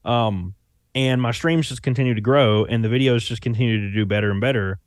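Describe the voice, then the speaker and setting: A man's voice: mid-range voice, fairly quick, no room sound, clean studio sound. A young man with a Southern Alabama accent, talking loosely in a casual chat, full of fillers and restarts. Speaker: YouTuber guest. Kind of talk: casual conversation.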